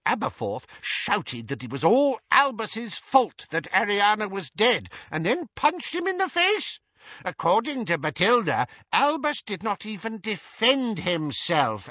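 A man voicing a spiteful, gleeful old aunt, a tipsy witch who relishes scandal in a shrill, emphatic voice for a storybook. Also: sharp tone, no echo, lo-fi sound, full character voice.

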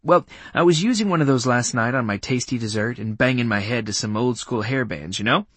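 A man's voice: mid-range voice, brisk, a bit bright, breathy edge, dry recording. A man voicing a wisecracking guy who plays a goofy, guilty slacker in an audiobook, with exaggerated slangy dude talk. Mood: sheepish bluffing.

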